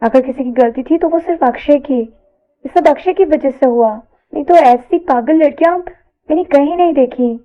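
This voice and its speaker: A woman's voice: high-pitched, moderate pace, lo-fi sound, voice pushed up, close to an everyday voice. A woman voicing a bashful but spirited young college woman in an audio story, sprinkling in English words. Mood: reassuring. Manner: stammering when flustered, mixes in English words. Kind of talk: audio story character dialogue.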